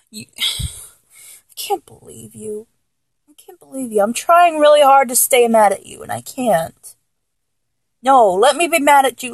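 High-pitched, exasperated girlfriend, acted by a woman. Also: slightly rough, no echo, full character voice. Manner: exasperated, teasing, whiny, with sighs and giggles. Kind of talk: intimate adult audio roleplay, one-sided dialogue.

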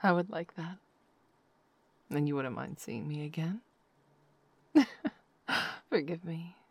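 A woman voicing a tender, possessive noblewoman lover in an intimate audio roleplay, talking in soft, slow whispers with quiet laughs. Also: sits high, very animated, no echo.

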